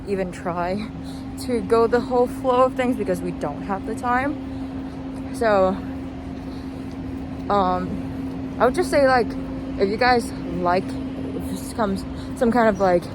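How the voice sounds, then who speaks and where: A young adult woman giving casual, rambling commentary as a vlogger, informal and hesitant, with trailing thoughts, recorded outdoors while walking. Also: a little high. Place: outdoors, walking along a street. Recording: noisy outdoor recording with traffic ambience. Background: clear street and vehicle noise.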